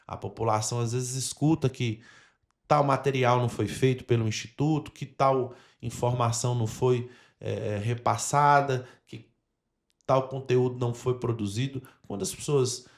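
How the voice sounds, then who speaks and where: A Brazilian man with a medium-pitched voice talks as a podcast host. He explains in an earnest way, often turning straight to the listeners. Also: fairly even intonation, moderate pace, creaky. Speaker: podcast host and institute representative.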